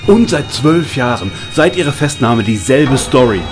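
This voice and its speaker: A man's voice: mid-range voice, expressive, moderate pace, music in the background, lightly acted. A man playing a stern, dismissive interrogator in an audio drama, speaking in a curt, commanding way. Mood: stern impatient.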